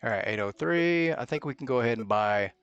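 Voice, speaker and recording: A man's voice: medium pitch, expressive, brisk, gravelly, a bit bright, clean tone, no room sound, lo-fi sound. A man giving live gameplay commentary as a streamer, thinking aloud and muttering to himself as he plays. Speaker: game streamer.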